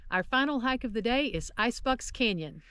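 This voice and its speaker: A woman's voice: on the high side, lively intonation, brisk, a bit bright, clear, resonant tone. A woman in her fifties co-hosting a travel vlog, chatting agreeably and with enthusiasm outdoors into a handheld camera. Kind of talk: casual hiking chat. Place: outdoors on a desert trail. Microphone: handheld camera at arm's length.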